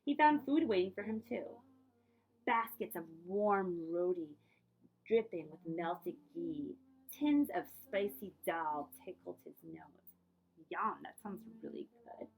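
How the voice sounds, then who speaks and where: A woman librarian reading aloud for children in an expressive storytelling way, speaking directly to her young listeners. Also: fairly low pitch, slow pace, rough recording.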